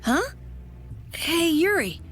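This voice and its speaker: A woman's voice: very high-pitched, very animated, slow pace, fairly bright tone, soft background music, heavily acted. An actress playing an eager, easily rattled young boy adventurer in a video game. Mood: excited. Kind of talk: animated video game acting.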